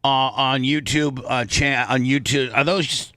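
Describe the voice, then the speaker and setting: A man hosting a casual radio talk show, speaking in a halting, rambling way on a close microphone. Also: medium pitch, steady tone, pushed and bright, dry recording. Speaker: host and boss of the show. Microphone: close studio microphone.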